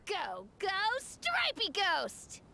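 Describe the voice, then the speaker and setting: A woman's voice: extremely high pitch, wildly swooping pitch, very slow, vocal fry, heavily acted. A woman voicing a plucky, earnest, competitive little boy in a video game, with a childlike voice. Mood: eager. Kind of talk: animated video game voice acting. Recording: game dialogue with loud music behind.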